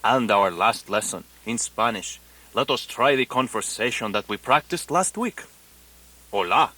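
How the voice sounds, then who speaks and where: A man playing an earnest language teacher in a radio parody, enunciating deliberately in mock foreign phrases. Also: on the low side, animated, bright, forceful tone, exaggerated voice. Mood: instructive. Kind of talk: parody of a broadcast language lesson.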